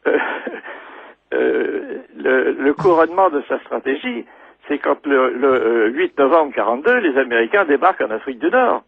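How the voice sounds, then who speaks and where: An older man with a gravelly, husky voice, lecturing haltingly as a guest historian, repeating words and insisting on his digressions. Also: mid-range voice, expressive, dry recording. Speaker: guest military historian, retired general. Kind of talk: radio lecture from notes, reading quotations. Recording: thin, dry phone-like line.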